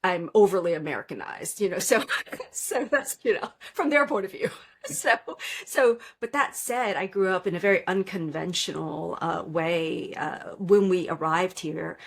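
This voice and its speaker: A woman in her fifties, born in Vietnam with Vietnamese as her first language, speaking as a podcast guest and telling her life story in relaxed, reflective conversation. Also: fairly low pitch, normal speed, vocal fry, breathy edge. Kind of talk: casual interview chat.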